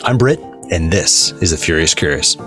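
A man's voice: low voice, lively intonation, normal speed. A man hosting a podcast, asking long rambling questions that circle back on themselves. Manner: rambling, restarts questions with repeated phrases. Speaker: podcast host. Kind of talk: podcast interview. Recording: dry close mic with faint music.

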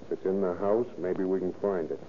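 An actor playing a scheming, suspicious crook in a 1940s-style radio crime drama. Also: male voice, low voice, fairly even intonation, brisk, natural voice. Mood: suspicious, determined. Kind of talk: 1940s radio villain acting. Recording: tinny, noisy old radio broadcast.

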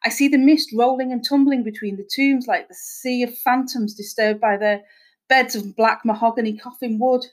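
A woman's voice: fairly high pitch, normal speed, fairly bright tone, lightly acted. A woman voicing a scheming female fake medium who feigns sympathy, pleading melodramatically as if in a trance. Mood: sympathetic, eerie.

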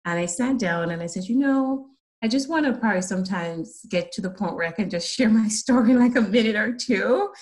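A middle-aged woman from Jamaica with a Jamaican accent, telling her life story earnestly as an interview guest, with soft laughs and many ums. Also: mid-range voice, expressive, fairly quick. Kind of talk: personal testimony in an interview.

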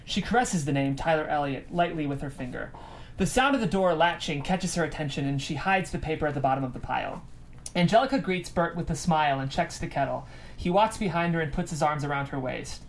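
A woman's voice: deep voice, fairly quick. A woman serves as narrator for a screenplay reading. She delivers the stage directions as scripted narration, with faint noise behind her.

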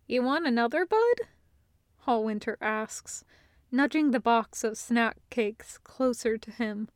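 A woman reading a story as scripted narration, with measured, deliberate phrasing. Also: a little high, no room sound, clean studio sound. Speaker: audiobook-style fiction reader.

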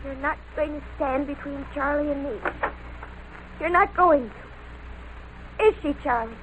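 Overwrought seventeen-year-old daughter, insistent, very high-pitched, vintage radio melodrama. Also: female voice, unhurried, fairly bright tone. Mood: coldly menacing. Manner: emphatic, repeats herself insistently. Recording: noisy vintage radio recording.

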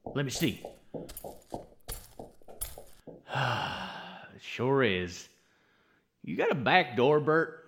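A man's voice: medium pitch, very animated, slow pace, creaky, fairly bright tone, heavily acted. A man playing a polite, earnest young cowboy with a Western American accent in a radio western. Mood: flustered. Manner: folksy, polite cowboy talk. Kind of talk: old-time radio western acting.

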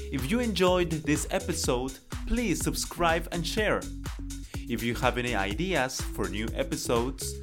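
A man hosting a podcast lesson, reading each line in Spanish and then in English, slow, earnest and encouraging. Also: mid-range voice. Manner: slow, earnest and encouraging, with emphatic numbered tips. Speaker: language teacher and podcast host.

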